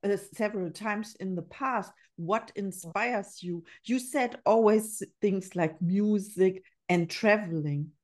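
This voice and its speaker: A woman's voice: mid-range voice, slow pace, hardly any pauses, darkish tone. A woman in her forties, a German native speaking English, hosting an interview podcast in an earnest way, often repeating words as she thinks. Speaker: podcast host. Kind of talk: casual interview.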